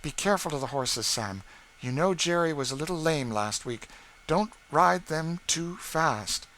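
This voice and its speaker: A man's voice: on the high side, expressive, unhurried, fairly bright tone, a little hiss or hum, pitched above the performer's own voice, poor audio. A man doing a stern lady of the house. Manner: firm, admonishing, emphatic.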